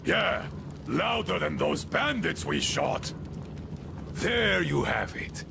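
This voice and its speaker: Gravelly, bitter old admiral. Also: male voice, a little high, big pitch swings, takes it slowly, background noise, exaggerated voice. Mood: agitated, indignant. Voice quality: gravelly, creaky. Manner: rambling, scornful, swinging from muttering to shouting. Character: bitter, deranged old admiral holding court over tea. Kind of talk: dramatic video game acting.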